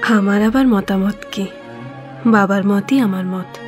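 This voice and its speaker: A woman's voice: mid-range voice, slow pace, soft background music, natural voice. An actress playing a reserved, dutiful young woman in an audio drama, speaking in a matter-of-fact way. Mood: matter-of-fact, resigned. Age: young adult.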